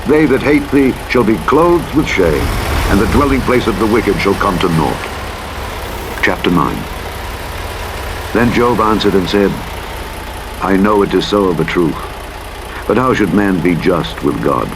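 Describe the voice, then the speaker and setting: Deep-voiced man reading scripture over engine noise. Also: animated. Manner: solemn, measured, formal delivery. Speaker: audiobook Bible narrator. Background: truck simulator engine noise.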